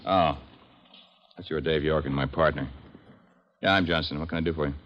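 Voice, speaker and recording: An actor playing a guarded, hard-boiled air-freight man, gruff and slangy, in an old-time radio drama. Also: extremely low pitch, steady tone, brisk. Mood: casual. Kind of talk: 1940s-50s American radio drama acting. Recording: dull, noisy old radio recording with clicks.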